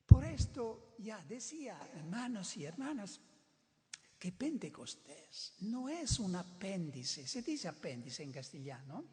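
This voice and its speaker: A man's voice: sits high, big pitch swings, unhurried, dark tone. An older man who is not a native Spanish speaker, preaching a sermon in an emphatic way, often repeating and restarting words. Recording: reverberant hall.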